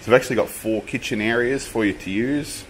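A man's voice: mid-range voice, a little fast, poor audio. A man, casually narrating a travel vlog and listing features one by one. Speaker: travel vlogger. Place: hostel interior and city street outdoors. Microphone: handheld camera at arm's length. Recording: steady background noise.

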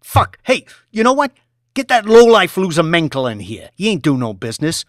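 A man voicing a volatile, bullying young finance boss who shouts and swears at his staff. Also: a little high, sing-song, normal speed, no echo, clean studio sound, pitched above the performer's own voice. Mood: raging. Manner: shouting, swearing, aggressive New York street talk.

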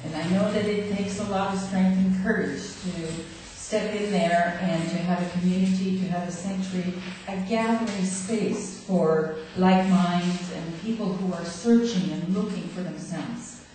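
A middle-aged woman speaks warmly and earnestly from a chapel stage, thanking and welcoming. Her voice sits a little low and reaches the mic from a distance, with heavy hall echo and faint background noise. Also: fairly even intonation, measured pace, hardly any pauses. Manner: heartfelt, talks with her hands, laughs easily. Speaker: guest speaker, medium. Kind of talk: spiritual service address. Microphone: podium mic, far camera.

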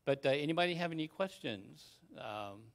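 A man giving an informal technical talk in a hesitant, halting, casual way. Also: fairly high pitch, lively intonation. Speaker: conference speaker, amateur radio hobbyist. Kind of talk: informal technical presentation, wrapping up. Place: conference room, speaking to an audience.

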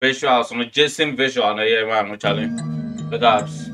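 A young man with a Ghanaian accent, giving animated, slangy commentary as host of a casual music reaction. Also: medium pitch, normal speed. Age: young adult. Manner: animated, slangy, repeats lyrics. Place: small furnished room at home. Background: some hip hop music.

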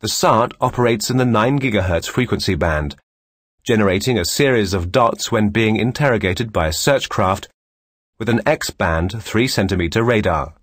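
A man reading scripted instructional narration as a course instructor, measured, formal and clearly enunciated. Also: fairly low pitch, animated, dry recording, clean studio sound.

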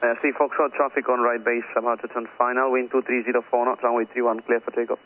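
Male air traffic controller, very fast clipped phraseology, thin phone-like radio sound. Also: mid-range voice, level tone. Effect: aviation radio. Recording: narrow, muffled aviation radio link.

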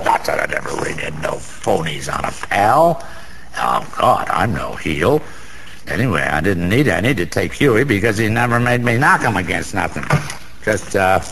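An actor playing a boozy, sentimental, boastful small-time gambler in a radio drama, rambling in old-fashioned street slang with a gravelly voice. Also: male voice, mid-range voice, a bit bright, heavily acted, poor audio. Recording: faint background noise.

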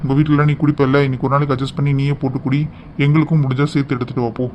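A stern young husband in a family melodrama acted by a man, speaking quickly. He is reproving and disappointed. The recording is dull, with faint noise behind him. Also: medium pitch, level tone, darkish tone, close to an everyday voice.

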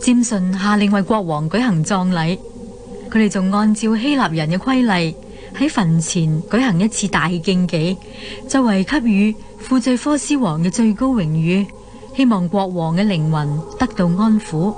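Hong Kong woman narrating with medium pitch. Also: moderate pace, a little mellow, a little hiss or hum. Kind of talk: dramatic storytelling narration.

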